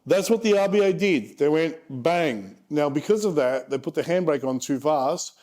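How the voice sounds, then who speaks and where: A man's voice: on the high side, lively intonation, moderate pace, darkish tone. A man in his forties with a frank manner and joking asides, casually presenting charts as a property investment presenter. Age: 40s.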